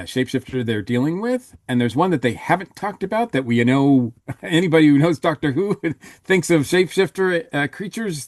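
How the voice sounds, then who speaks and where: A man on a podcast panel in casual review chat, talking haltingly with trailing asides. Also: medium pitch, no room sound, clean studio sound.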